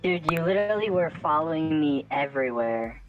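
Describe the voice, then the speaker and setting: A woman's voice: low-pitched, animated, a little fast, lo-fi sound, faint music behind. A woman playing an online game, talking casually with the other players. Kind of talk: casual group game chat.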